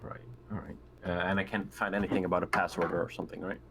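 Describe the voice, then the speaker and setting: A man's voice: sits low, little pitch movement, quick pace, creaky, fairly bright tone, a little hiss or hum. A man playing a tabletop roleplaying game, talking casually as he thinks through what to do.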